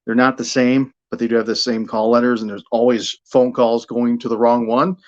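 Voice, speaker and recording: A man's voice: mid-range voice, little pitch movement, normal speed, highs cut off. A middle-aged American man from Buffalo talking casually as an interview guest about his broadcasting work, often repeating words as he thinks. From Buffalo, New York, United States. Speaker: guest, radio sports broadcaster.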